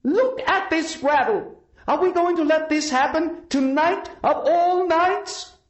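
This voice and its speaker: Audiobook figure: a slow, high, commanding woman rabble-rouser, put on by a male narrator. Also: roomy sound. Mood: rallying. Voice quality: shrill, slow and declaiming. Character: shrill commanding middle-aged woman rally leader.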